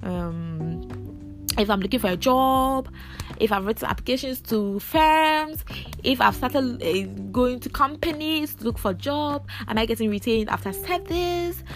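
A woman, hosting a casual solo podcast in a chatty, confiding way, her voice raspy from a cold. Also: on the high side, animated, unhurried, soft background music. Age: 20s. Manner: chatty, confiding, laughs often. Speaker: podcast host.

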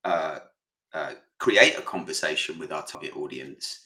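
Guy hosting a podcast, chatty and easygoing, bright voice, echoey room. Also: very deep voice, normal speed. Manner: relaxed, lots of fillers. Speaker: podcast co-host. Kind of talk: casual podcast chat.

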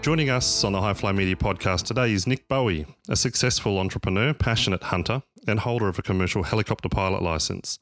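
A male podcast host with a fairly low voice, reading a scripted welcome and then moving into easy conversation. He steers the guest with short prompts and questions. The recording is close and dry. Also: quick pace, few pauses, a bit bright, no echo, soft background music. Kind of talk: scripted intro, then casual interview chat.

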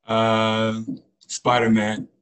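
A teenage male athlete answers interview questions casually. His voice is fairly low and very flat in melody, with little rise or fall as he talks. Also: extremely slow, smooth. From Congo, later the US Pacific Northwest. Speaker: high school football player, podcast guest.

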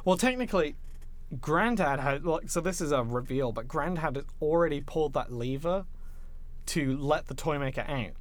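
A man's voice: a little high, animated, normal speed. A man hosting a podcast, casually recapping and chatting about a film in an irreverent, sweary way. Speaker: podcast host.

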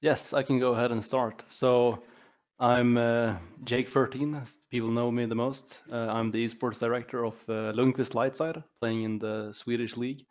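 A man's voice: medium pitch, little pitch movement, normal speed, dull audio. A man explaining things casually as an esports director on a panel.

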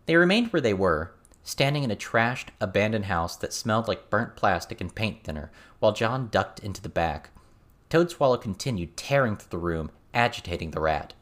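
Expressive man narrating fiction. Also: lowish voice, moderate pace. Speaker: amateur audiobook reader.

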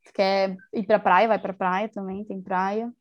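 A woman's voice: mid-range voice, little pitch movement, a little slow, dull audio. Chatty young woman, casual interview. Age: young adult. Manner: chatty, enthusiastic, many fillers like "assim" and "né". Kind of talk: casual conversation.

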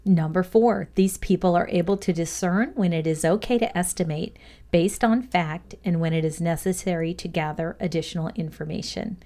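A woman reading scripted educational narration in a measured, deliberate way. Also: fairly low pitch, warm tone. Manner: measured, deliberate read-aloud delivery. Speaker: reader of a values lesson.

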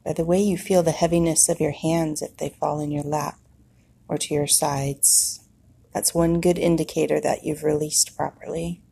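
A woman leading a guided meditation in a soothing manner, with long pauses and a husky, breathy voice. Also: sits low, level tone, warm tone.

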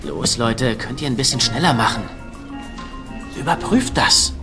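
An actor in a German-dubbed TV comedy playing a cocky, theatrical psychic who teases and chatters. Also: male voice, mid-range voice, unhurried, lightly acted. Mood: mock-serious, taunting. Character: flamboyant, cocky man playing a psychic at poker. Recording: music behind the voice.

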